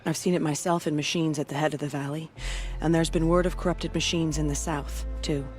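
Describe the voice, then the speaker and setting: Game war leader, voiced by an actress: female, steadfast, stern, weary, low for a woman, music behind. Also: steady tone, brisk, a little mellow, close to an everyday voice. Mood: grave, resolute. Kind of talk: video game cutscene acting.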